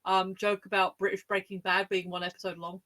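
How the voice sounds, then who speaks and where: A woman's voice: mid-range voice, flat delivery, fairly quick, a bit bright. A woman co-hosting a podcast, chatting casually in a wry, frank way. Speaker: podcast co-host. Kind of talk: casual chat.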